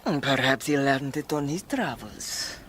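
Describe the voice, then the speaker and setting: An actress playing a world-weary, brooding countess in a radio drama, resigned and grave in manner. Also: female voice, very deep voice, lively intonation, a little slow, slight background noise. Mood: knowing, controlled. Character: world-weary Italian countess confessing to a murder.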